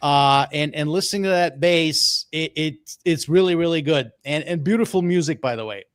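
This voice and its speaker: A man's voice: on the high side, normal speed, a bit bright, no echo, studio quality. A man presenting music picks on an audio roundtable show, enthusiastic and rambling, often repeating words. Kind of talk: casual roundtable chat.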